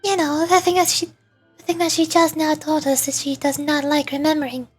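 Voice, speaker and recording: Game fairy companion performed by a woman: small, curious, chatty girl, pitched well up high. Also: flat delivery, fairly quick, soft background music, close to an everyday voice. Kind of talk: video game dialogue read aloud.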